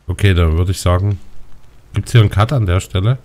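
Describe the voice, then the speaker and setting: A man's voice: sits low, fairly quick. A man casually chatting while playing a game with a friend and talking to viewers, a bit stuffy-nosed. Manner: relaxed, talks to viewers. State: stuffy nose. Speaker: let's play host. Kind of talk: casual gaming chat.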